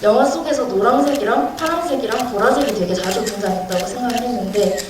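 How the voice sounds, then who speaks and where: A woman's voice: fairly high pitch, fast talker. A woman in the audience asking a polite, hesitant question at a film screening. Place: large cinema hall. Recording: echoey hall audience recording, distant and noisy.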